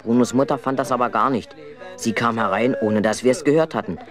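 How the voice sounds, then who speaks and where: A man's voice: medium pitch, brisk, a crowd in the background, natural voice. A man narrating a children's radio play as a mischievous, aggrieved schoolboy telling his own story. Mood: recounting.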